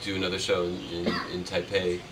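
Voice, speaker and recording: A man chatting casually and haltingly in an interview as the creator of a puppet show. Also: sits low, completely flat delivery, background noise. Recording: distant mic, music in background.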